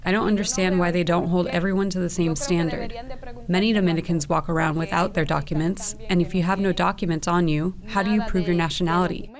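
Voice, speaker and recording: Lower-pitched woman over crowd chatter. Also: steady tone, fast. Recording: busy crowd sound behind voice.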